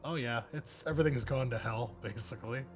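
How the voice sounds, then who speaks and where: A man's voice: mid-range voice, brisk, soft background music. A man speaking informally and conversationally as game master, narrating and refereeing a tabletop roleplaying game.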